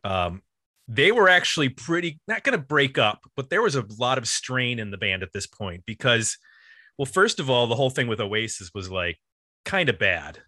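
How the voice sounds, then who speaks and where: A man's voice: mid-range voice, sing-song, moderate pace, pushed and bright, dry recording, studio quality. A man hosting a music podcast, casually explaining the history of an album in an informal, loosely structured way.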